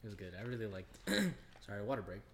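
A man's voice: fairly low pitch, big pitch swings, fairly quick, a bit bright, a little hiss or hum. A young man co-hosting a casual podcast, chiming in with slangy, agreeable remarks. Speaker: podcast co-host. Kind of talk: casual chat.

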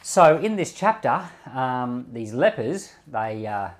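A male preacher speaks in a mid-pitched voice with lively, wide-ranging intonation. He phrases things in a measured way and leans on key words. The delivery suits scripture reading and informal devotional teaching. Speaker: Bible podcast host and preacher.

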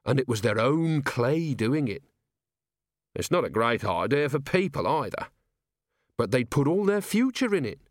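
A man's voice: medium pitch, sing-song, moderate pace, dry recording, studio quality, voice pushed up, lightly acted. A man voicing an earnest, polite young police officer in an audiobook, deferential and sincere. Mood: sincere, sad. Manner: deferential, sincere, literal-minded.

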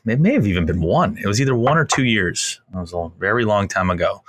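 Deep-voiced easygoing chef. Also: male voice, expressive, quick pace, dry recording. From Massachusetts, US. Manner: chatty, rambling, easygoing with self-deprecating humor. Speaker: chef and restaurant owner, guest. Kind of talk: casual interview storytelling.

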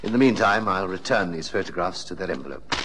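Menacing blackmailer, low husky voice, animated delivery, mock-polite, deliberate, radio play. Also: male voice, noisy background. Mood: smug, coolly menacing. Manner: mock-courteous, deliberate, threatening. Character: menacing, smooth-talking male blackmailer with a violent temper. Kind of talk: radio drama acting.

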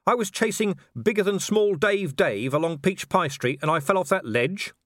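A man's voice: high-pitched, lively intonation, normal speed, a bit bright, no echo, clean studio sound, voice pushed up. A man voicing a beleaguered, sardonic hero in an audiobook, sarcastic and incredulous. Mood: protesting. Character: beleaguered, sardonic male hero, a titled nobleman.

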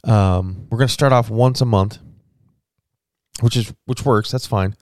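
A man's voice: fairly low pitch, unhurried, a little mellow, no room sound, clean studio sound. A man in his forties hosting a solo podcast, rambling casually with lots of restarts and fillers. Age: 40s. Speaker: podcast host.

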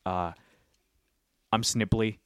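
A man with a deep, low voice hosts a casual podcast, cracking crude jokes and rambling. He sounds a bit sniffly from spring allergies. The studio sound is dry and close. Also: steady tone, slow pace, a bit bright, smooth, no echo. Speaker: podcast host.

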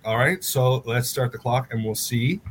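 A man's voice: mid-range voice, normal speed, a crowd in the background. A man hosting a podcast, casually interviewing and running a quiz. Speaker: podcast host. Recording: clear background noise, room ambience.

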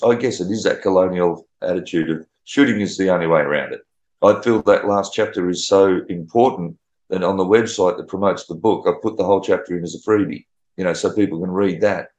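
A man's voice: low voice, darkish tone, reverberant. A man, an author guest on a radio chat, talking earnestly. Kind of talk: casual radio interview.

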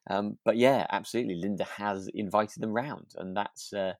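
Male podcast co-host, lower register, tentative and rambling casual chat, dry sound. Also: moderate pace, no room sound. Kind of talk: casual chat about a radio drama.

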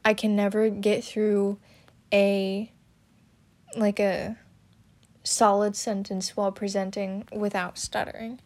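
A young woman talking about her own experience, hesitant and halting, with many fillers and restarts. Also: medium pitch, flat delivery, darkish tone. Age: young adult. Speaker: student podcaster. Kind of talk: personal talk about her stutter.

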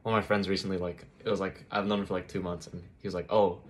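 A young man from Thailand, a college student being interviewed, talks in a relaxed, rambling way with plenty of "like" fillers. His voice is very deep and he moves at a brisk pace. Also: slight background noise. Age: young adult. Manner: easygoing, heavy use of "like" fillers. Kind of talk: casual interview chat.

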